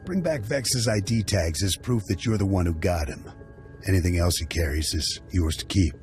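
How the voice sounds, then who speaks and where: A seasoned mentor, voiced by a man, briefs his hunter in a steady, matter-of-fact tone. His voice sits low and even. Faint game music plays beneath. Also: moderate pace, close to an everyday voice. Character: seasoned male bounty hunter mentor, businesslike. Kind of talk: video game dialogue.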